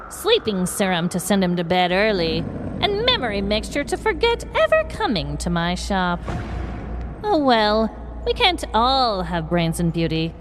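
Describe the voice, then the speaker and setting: A woman's voice: medium pitch, background noise. A woman playing a sly, theatrical old witch shopkeeper in a scripted Halloween story, coaxing as she talks.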